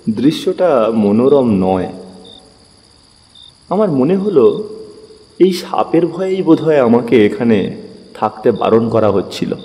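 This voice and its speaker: Male audio-story narrator, mid-pitched and dark-toned, expressive and measured, reverberant, with a faint music bed. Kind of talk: dramatized first-person story narration.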